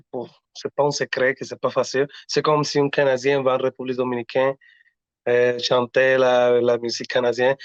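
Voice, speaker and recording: Singer guest, male, halting and earnest, middle pitch, muffled lo-fi recording. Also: dry recording. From Latin America. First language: Spanish. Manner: earnest, halting, frequent restarts. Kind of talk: casual interview talk. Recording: dull lo-fi audio.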